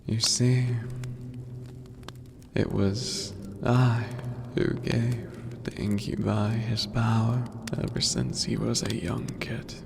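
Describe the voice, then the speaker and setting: A man voicing an ancient skeletal demon overlord in an ASMR roleplay, calm, regal and formal, with a gravelly, breathy voice that often sinks to a whisper. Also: medium pitch. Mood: reminiscing, amused. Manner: slow, deliberate, lofty and formal. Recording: long cavernous reverb, noisy ambient bed.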